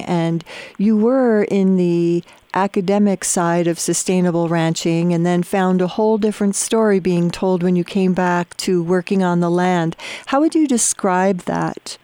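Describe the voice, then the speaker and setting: Woman interviewer, mellow dark tone, dry close sound, asks curious questions. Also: fairly low pitch, no echo. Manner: scripted introductions, curious questions. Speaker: host.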